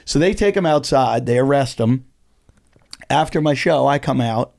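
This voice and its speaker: A middle-aged man, a stand-up comedian, telling stories in a casual podcast chat, animated and swearing freely. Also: medium pitch, normal speed, vocal fry. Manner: animated storytelling, heavy swearing.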